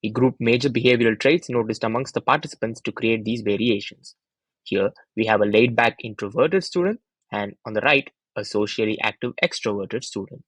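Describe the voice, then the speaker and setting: A young man with a fairly low, husky voice narrates a scripted student presentation. He explains each step in an orderly way. The sound is dry, with little room around the voice. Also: brisk, a bit bright, no room sound, low-quality recording.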